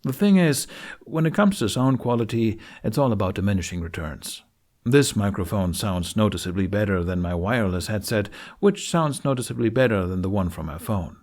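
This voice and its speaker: A man with a fairly low voice presents a how-to voice-over. He speaks in a frank, conversational way, following a script but keeping it informal. It is recorded on a budget USB microphone in a living room. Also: expressive, normal speed, darkish tone. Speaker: tutorial presenter. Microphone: budget USB microphone on an arm.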